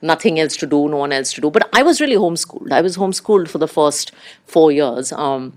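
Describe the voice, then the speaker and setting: Animated Indian woman with a lowish voice. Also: fast talker. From India, raised abroad in diplomatic postings. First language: Urdu. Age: middle-aged. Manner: animated, anecdotal, acts out quoted remarks. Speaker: interview guest. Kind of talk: conversational personal storytelling.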